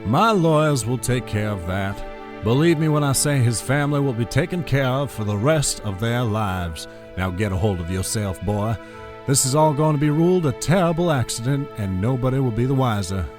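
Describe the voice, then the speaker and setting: An actor playing a calm, ruthless, wealthy oil boss in an audio drama, unruffled and condescending. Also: male voice, mid-range voice, normal speed, over music, close to an everyday voice. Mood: cold, dismissive.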